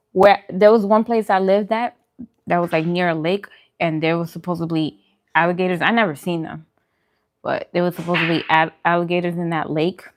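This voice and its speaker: A woman chatting casually and colloquially with her viewers, as on a livestream during a relaxed meal. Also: fairly low pitch. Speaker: vlogger hosting a mukbang livestream. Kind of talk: casual livestream chat with viewers while eating.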